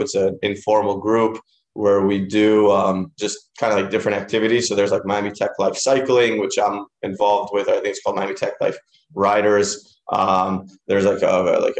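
A man in his thirties, originally from Israel, talking casually as an interview guest with many fillers and restarts. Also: lowish voice. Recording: roomy echoey room.

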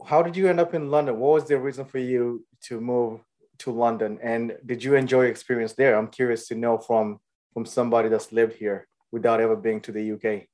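A man hosting an interview speaks at an ordinary pitch. He asks curious questions and cheers his guest on with quick, appreciative remarks. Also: flat delivery, darkish tone. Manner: curious and encouraging, with quick affirmations. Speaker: interviewer, host.

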